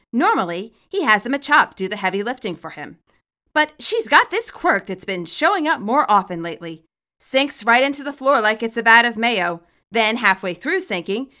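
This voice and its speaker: A woman reading her own fan fiction aloud as expressive, scripted story narration. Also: fairly high pitch.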